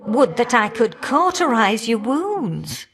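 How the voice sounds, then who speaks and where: An actress voicing a warm, feisty old great-aunt fire goddess for a video game, with folksy, teasing, old-fashioned talk. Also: female voice, a little high, big pitch swings, slow, exaggerated voice. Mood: sympathetic, wry. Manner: folksy, teasing, old-fashioned turns of phrase, calling listeners dearie. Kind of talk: video game character acting.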